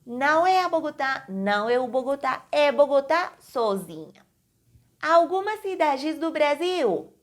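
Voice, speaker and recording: A woman from Colombia, teaching an online language lesson slowly, with clear, careful enunciation. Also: sits high, sing-song. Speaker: Portuguese teacher.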